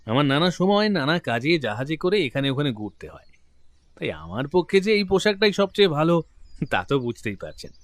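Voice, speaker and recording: An actor playing a genial, talkative middle-aged gentleman client in a detective audio drama. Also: on the high side, no room sound. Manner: genial, talkative, open-hearted. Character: affable, chatty gentleman client, proud father.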